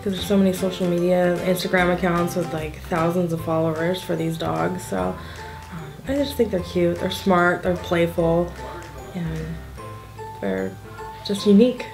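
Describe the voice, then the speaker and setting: A woman's voice: fairly low pitch, moderate pace, creaky. A woman in her forties, seated at a table indoors, chatting casually in an interview with an upbeat, easy manner and frequent ums. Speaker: event co-founder. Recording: soft music bed underneath.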